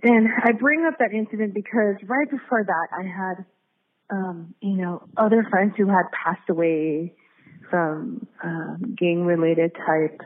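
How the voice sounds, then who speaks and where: A woman's voice: fairly low pitch, fairly even intonation, unhurried. A middle-aged American woman talking casually as an interview guest, candid and reflective, circling back to rephrase as she tells her story. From San Diego, United States. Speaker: guest, community activist. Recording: tinny phone-like audio.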